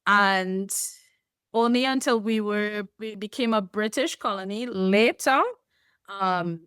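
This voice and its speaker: A woman with a Trinidadian accent talks in a measured, explanatory way, laughing lightly now and then. Her delivery is slow and unhurried, and her voice is smooth and clear. The sound is dry and close. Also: mid-range voice, a bit bright, no room sound. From Trinidad and Tobago. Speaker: guest. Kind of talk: podcast interview.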